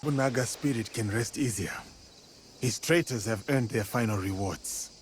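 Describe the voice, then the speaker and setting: An actor playing a solemn, loyal warrior with a measured, reassuring manner in a video game drama. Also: male voice, mid-range voice, slight background noise, lightly acted. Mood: solemn, grateful.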